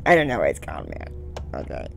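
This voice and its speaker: A man's voice: a bass voice, huge pitch swings, fast talker, vocal fry, over loud music. A young man giving casual game commentary, nervously muttering and repeating his words over and over. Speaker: gaming video host. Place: indoor room at home.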